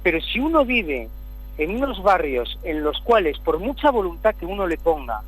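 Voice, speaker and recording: Measured middle-aged man on a humming line. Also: high voice, very animated, fairly bright tone, breathy edge, poor audio. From Spain. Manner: measured, explanatory, repeats words while searching. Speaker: guest, city councillor. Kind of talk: political interview over the phone.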